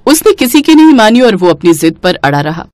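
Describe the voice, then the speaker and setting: A woman narrates a scripted moral story for a cartoon. Her delivery is lively in pitch and recorded close and dry, with no room sound. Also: brisk, dry recording.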